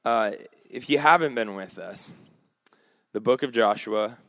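A man preaching a conversational sermon, his voice slightly raspy, with frequent fillers and restarts. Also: on the low side, a little slow, a bit bright, no echo. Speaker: pastor.

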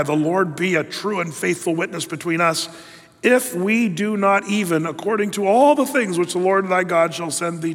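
A man's voice: fairly high pitch, big-room sound. A man preaching a sermon, reading scripture aloud and acting out the quotes emphatically. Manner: emphatic, acts out quotes in lively voices. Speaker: pastor.

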